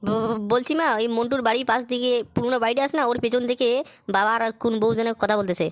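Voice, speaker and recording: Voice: medium pitch, steady tone, fast talker, heavy background noise, natural voice. A performer voicing a cheeky, nervous tattletale son in an amateur comic cartoon, stammering and cursing. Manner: stammering, foul-mouthed. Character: cheeky tattletale son, nervous around his scolding mother.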